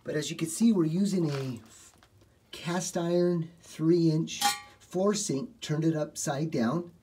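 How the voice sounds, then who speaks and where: A man's voice: on the high side, slow, mellow, a little hiss or hum. A middle-aged man working as a home repair contractor, walking through a basement job step by step in plain talk with lots of "uh" fillers. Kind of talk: walkthrough how-to explanation. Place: basement, small indoor space.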